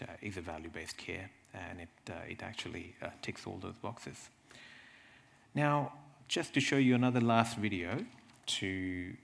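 A man giving a slide presentation, explaining things in a halting way with repeated words and asides. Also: sits low, gravelly, a bit bright, slight background noise. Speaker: presenter from a health service.

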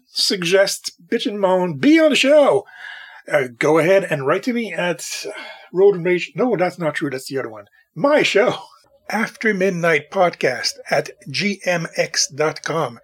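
A man hosting a solo music podcast late at night, with a raspy voice and an opinionated, rambling way of talking. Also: fairly high pitch. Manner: opinionated, rambling, talks to himself. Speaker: podcast host. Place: home recording at night.

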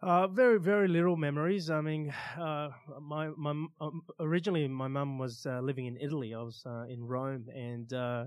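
A man with an Australian accent telling personal stories as an interview guest, in casual, halting phrases with pauses. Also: mid-range voice, no echo. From Australia, born in Iran. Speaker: interview guest, stunt pilot and motivational speaker.

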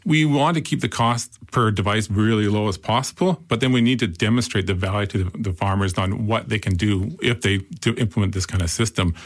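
A man with a Canadian accent, a founder explaining his product in an interview with quick enthusiasm. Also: lowish voice. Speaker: startup founder. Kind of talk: interview answers.

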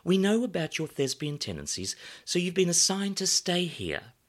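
Man putting on a high-pitched whimsical bombshell hostess. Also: very animated, normal speed, fairly bright tone, exaggerated voice. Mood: welcoming. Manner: airy, theatrical. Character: whimsical blonde bombshell hostess, breezily welcoming.

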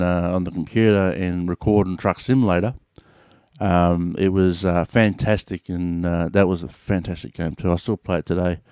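Aussie bloke yarning on his podcast, low voice, lots of ums, dry close mic. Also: a little mellow, clean tone, no room sound. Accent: Australian. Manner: rambling, lots of fillers, swears casually. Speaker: podcast host. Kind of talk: casual monologue.

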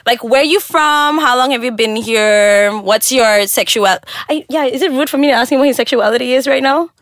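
A woman co-hosting a podcast, bubbly and quick to laugh in casual chat. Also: high voice, a bit bright, no room sound, studio quality. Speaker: podcast co-host. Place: studio.

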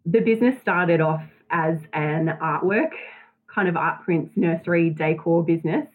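A woman's voice: on the low side, normal speed, breathy edge. Australian woman on a tinny line. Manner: chatty, laughs often. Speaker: guest, business owner. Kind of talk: casual interview.

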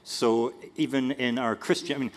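A man's voice: mid-range voice, level tone, moderate pace. A man speaking as a panelist, lecturing on history with dry self-deprecating humor. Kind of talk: academic panel discussion.

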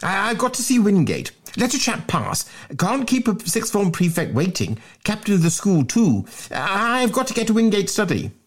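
A man's voice: high voice, big pitch swings, moderate pace, hardly any pauses, pitched above the performer's own voice. A man voicing a fat, flustered schoolboy from a storybook, greedy and indignant, who stammers and blusters out his excuses. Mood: stammering excuses. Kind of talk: storybook character voice in a dramatic reading.

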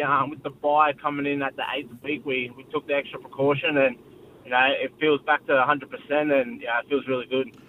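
A young man from Brisbane with an Australian accent, a rugby league player chatting casually as a guest on a radio show, relaxed and modest with lots of fillers. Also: fairly high pitch, narrow, tinny audio, a little hiss or hum.

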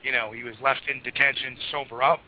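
A hoarse man hosting a casual call-in radio show, rambling with plenty of fillers and restarts. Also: medium pitch, steady tone, fast talker, slight background noise. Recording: muffled lo-fi radio broadcast.

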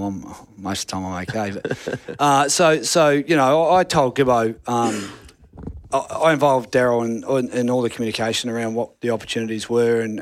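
A man's voice: mid-range voice, no room sound, studio quality. A middle-aged man with a New Zealand accent, a rugby coach answering interview questions frankly, with many restarts. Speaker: rugby assistant coach guest.

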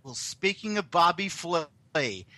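A man's voice: fairly high pitch, lively intonation, takes it slowly, bright, forceful tone, dry recording, full character voice. A man playing a brash, crude older surf industry boss, calling in and rambling boastfully. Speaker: caller on satellite phone.

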